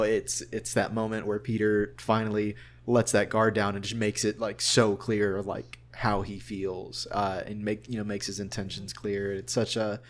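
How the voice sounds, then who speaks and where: A man talking casually and at length in an interview, as a voice actor. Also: on the low side. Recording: clear background hum and noise.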